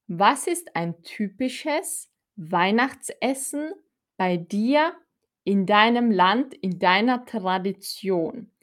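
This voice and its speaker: A woman leads a live-streamed language lesson at a deliberately slow pace. Her voice sits at an ordinary middle pitch, and she speaks clearly, repeating key words for her learners. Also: animated. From Austria. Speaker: German teacher.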